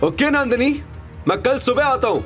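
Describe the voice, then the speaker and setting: A man's voice: very high voice, animated, moderate pace, fairly bright tone, soft background music, pitched above the performer's own voice. A man playing an arrogant romantic rival, boastful and taunting, in an audio drama. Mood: smug taunting. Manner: smug, boastful.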